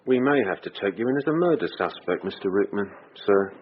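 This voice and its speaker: An actor playing a sardonically polite British police detective questioning a suspect in a radio drama. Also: male voice, fairly low pitch, lively intonation, fairly quick, husky, phone-quality sound. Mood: sardonic, probing.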